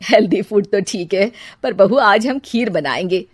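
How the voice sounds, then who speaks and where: A woman's voice: mid-range voice, measured pace, dry recording, lightly acted. A woman voicing a kindly older mother-in-law with a motherly manner in a cartoon story. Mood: encouraging. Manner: soothing, motherly.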